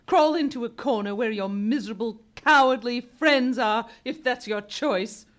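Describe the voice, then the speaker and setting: A woman's voice: on the high side, normal speed, natural voice. An actress in a live radio play playing a haughty patriotic young Englishwoman who dismisses her sister with scorn. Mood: scornful.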